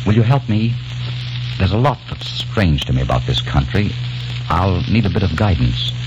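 A man's voice: sits low, very animated, normal speed, noisy background. A man playing a flustered young New Yorker lost in the past, who also narrates the radio drama. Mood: earnest. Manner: flustered, stammering in dialogue. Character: young male time-traveling New Yorker, nervous. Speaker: narrator and lead. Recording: dull old radio broadcast with music.

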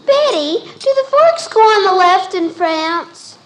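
An actress playing a pert, nosy little sister with blunt, childlike delivery in a 1950s radio comedy. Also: female voice, very high-pitched, big pitch swings, takes it slowly, exaggerated voice. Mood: curious. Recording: very noisy old radio broadcast.

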